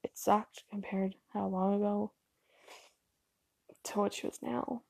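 A woman's voice: mid-range voice, flat delivery, slow pace, gravelly, darkish tone, no room sound. A young woman from Canada with a stuffy nose, rambling casually at home with lots of fillers and apologies. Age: young adult. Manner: chatty, self-deprecating, filler-heavy with ums and likes.

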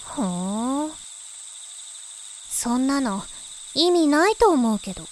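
A woman's voice: high-pitched, sing-song, takes it slowly, a little hiss or hum, exaggerated voice. An actress voicing a skeptical young girl, the little sister, who doubts and questions, in a voice drama. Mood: dismissive. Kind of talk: voice drama acting. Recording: faint insect and cricket ambience.

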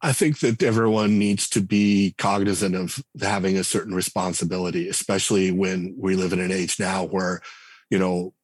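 Guest: low-voiced man, husky, thoughtful and hesitant, dry close-miked read. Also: fairly even intonation, normal speed, dry recording. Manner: reflective, hesitant with frequent fillers. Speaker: game designer and writer, podcast guest. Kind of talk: casual interview talk.

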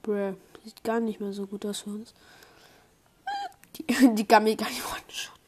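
A young woman commenting on her own online match, excitable, swinging from low muttering to high squeals. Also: normal speed, slightly rough. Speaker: gamer streamer. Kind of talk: live gameplay commentary.

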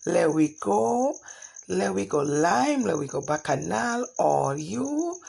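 A Jamaican speaker doing an imitated Trinidadian accent for a lively, casual Trinidadian speaker. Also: medium pitch, slow, vocal fry, slightly rough, voice pushed up, heavily acted. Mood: playful.

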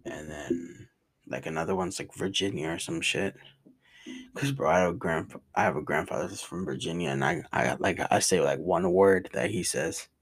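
A man's voice: low-pitched, normal speed, husky. A man with a New York and New Jersey accent, rambling casually in slangy vlog chat from his room. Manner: slangy, rambling, says bro a lot. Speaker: vlogger. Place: bedroom in a rented cabin.

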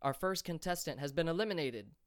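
A man voicing a male arena announcer who makes loud, drawn-out proclamations. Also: a little high, lively intonation, dry recording, clean studio sound, pitched above the performer's own voice, lightly acted. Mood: grandly proclaiming.

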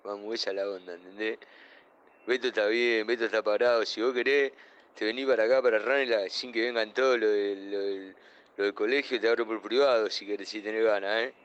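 A man's voice: lowish voice, steady tone, fairly quick, a bit bright, smooth, muffled recording, lightly acted. A man playing a boastful, suggestive middle-aged flirt in a comic radio play, with an Argentine accent. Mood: cocky, seductive. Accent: Argentine, Rioplatense. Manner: boastful, suggestive, talks of himself in third person.

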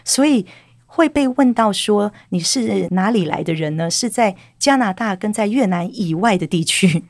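A woman working as an interpreter, briskly relaying the guest's answers. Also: mid-range voice, lively intonation, no echo, studio quality. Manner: brisk, relays in the first person. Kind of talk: consecutive interpreting.

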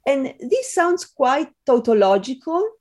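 A middle-aged Italian woman with a fairly high voice speaks as a scholar being interviewed. Her English has an Italian accent. She talks conversationally and hesitates often, with ums and pauses as she goes. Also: big pitch swings, a little mellow. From Italy. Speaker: interview guest, film scholar.

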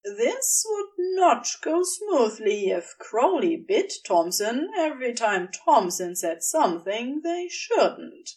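A woman narrates fiction in a fairly high voice with very animated intonation. She reads at an unhurried pace and sounds a little distant from the mic. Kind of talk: scripted story narration.

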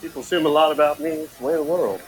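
Man playing an easygoing US marshal, very fast, roomy sound, TV clip. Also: fairly high pitch, steady tone, natural voice. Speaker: lawman in a TV drama.

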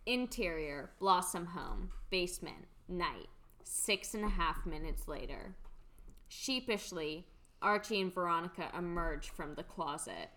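A woman's voice: on the low side, animated, a little slow, bright, forceful tone. A woman reading scripted stage directions as the narrator of a radio play.

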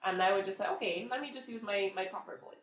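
A woman's voice: medium pitch, fast talker, echoey room. A woman with a Barbadian accent chatting casually and playfully, giggling often. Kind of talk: casual chat.

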